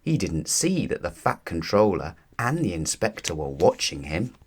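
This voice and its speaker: A man narrating a children's storybook aloud in an expressive, deliberate storytelling manner. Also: low-pitched, slightly rough.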